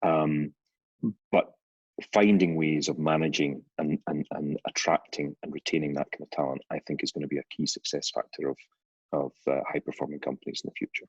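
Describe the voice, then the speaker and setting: A middle-aged Scottish man with a very low, husky voice talks haltingly, full of restarts and dry jokes. The sound is dull and muffled. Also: flat delivery, no room sound. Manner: hesitant, many restarts and fillers, wry humor. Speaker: guest author. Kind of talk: casual interview chat.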